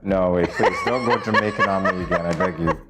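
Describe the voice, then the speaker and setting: A woman's voice: extremely low pitch, very noisy. A woman co-hosting a morning show, answering her partner with dry, teasing remarks. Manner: dry, teasing, quick replies. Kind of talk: casual studio chat.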